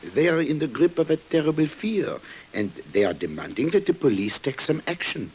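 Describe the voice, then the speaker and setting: An actor playing a grave, determined police commissioner in a formal, dramatic radio play style. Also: male voice, a little high, big pitch swings, a little mellow, husky. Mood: grave, probing. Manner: formal, deliberate, dramatic delivery. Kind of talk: 1950s radio drama acting. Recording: muffled-edged vintage radio drama recording.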